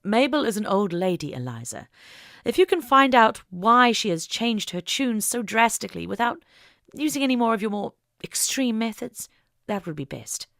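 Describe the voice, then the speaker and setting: A woman narrator voicing an earnest, wry older suffragist with formal, measured phrasing in an audiobook. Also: a little high, big pitch swings, vocal fry, fairly bright tone, no room sound, studio quality, voice pushed up, heavily acted. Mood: grateful, cautioning. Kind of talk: audiobook character dialogue.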